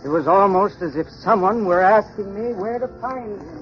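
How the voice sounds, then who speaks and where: Man playing higher-pitched, musing hospital worker. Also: normal speed, natural voice. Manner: colloquial, musing. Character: casual male hospital staffer, puzzled and uneasy. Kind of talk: 1930s radio drama acting. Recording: muffled 1930s radio, faint noise.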